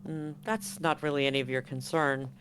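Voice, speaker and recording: A man's voice: a little high, steady tone, fairly quick, fairly bright tone, lightly acted. A man playing a cold, arrogant female corporate agent in a tabletop game, speaking with dismissive control. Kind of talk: tabletop roleplay acting. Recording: live stream with background noise.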